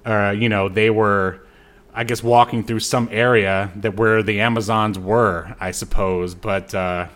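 A man's voice: lowish voice, fairly even intonation, unhurried, a bit bright. A man co-hosting a podcast, giving a casual film recap in a conversational, hesitant way with trailing asides. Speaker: podcast co-host.

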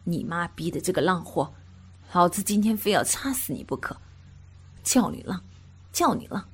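A woman voicing a crude, domineering young man who swears and barks commands in a gruff, lowered voice. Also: animated, moderate pace. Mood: rough, domineering.

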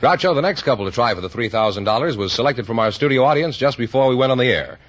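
Lively American male radio announcer, slightly husky. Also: mid-range voice, fast. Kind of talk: 1950s radio advertising announcer. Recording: dull vintage radio recording.

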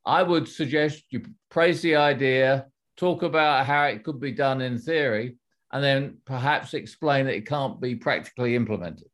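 A middle-aged man, a former executive and author, answering interview questions in a measured, explanatory way with frequent restarts. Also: medium pitch, fairly bright tone.

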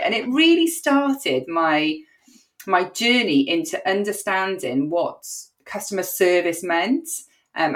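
A woman with a Welsh Cardiff accent, co-hosting a podcast and telling stories in a chatty way with lots of fillers. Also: mid-range voice. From Wales. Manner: chatty, lively, laughs often, many fillers. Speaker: podcast co-host. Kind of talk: casual storytelling chat.

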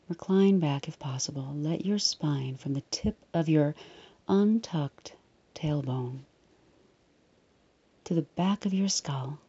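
Female meditation guide, low and mellow, soft breathy near-whisper, reassuring and unhurried. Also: lo-fi sound. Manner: soothing, unhurried, gently reassuring.

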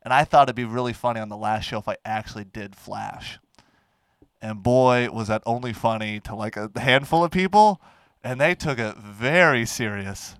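A man's voice: medium pitch, smooth, no echo. Nasal-voiced man telling stories. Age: 20s. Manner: animated storytelling, quick to laugh. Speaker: podcast co-host. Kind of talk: casual comedy podcast chat.

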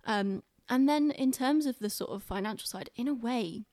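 A woman's voice: on the high side, moderate pace, mellow, dry recording, studio quality. A woman talking casually and earnestly on a podcast, explaining things in an explanatory way.

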